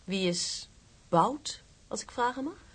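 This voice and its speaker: An actress playing a cool, haughty young fiancée in a radio drama, polite, composed and condescending. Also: female voice, a little high, animated, slow pace, slightly rough, exaggerated voice. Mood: cool, amused.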